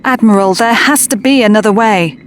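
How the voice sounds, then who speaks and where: A woman's voice: medium pitch, fairly quick, crowd noise, lightly acted. A woman playing a troubled, conflicted friend in an audio drama, with an earnest, questioning, wistful delivery. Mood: anxious pleading.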